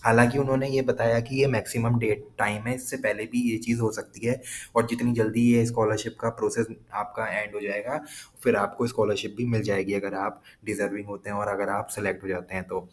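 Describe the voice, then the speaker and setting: A man's voice: on the low side, level tone, a little fast. A man with an Indian accent explaining things informally to viewers as a video host, repeating points and mixing in English phrases. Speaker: scholarship guidance video host. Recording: clearly noisy background.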